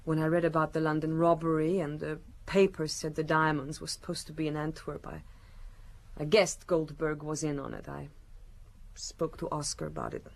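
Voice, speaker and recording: An actress playing an evasive, cunning female criminal under questioning, halting and defensive, in a radio murder mystery. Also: deep voice, level tone. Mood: subdued confession.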